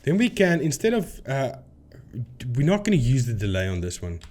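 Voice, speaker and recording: A man's voice: medium pitch, wildly swooping pitch, normal speed, darkish tone. A man hosting a debunking video, explaining his points emphatically and repeating phrases for stress as he walks through a demonstration. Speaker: video host debunking paranormal claims. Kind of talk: explanatory commentary with screen demonstration.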